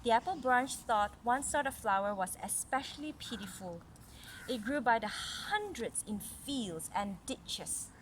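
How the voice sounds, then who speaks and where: Woman, storytelling mother with a highish voice, reads to a child and explains as she goes; noisy recording. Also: lively intonation, unhurried. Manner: explains and asks the child questions. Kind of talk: read-aloud storytelling with casual asides to a child.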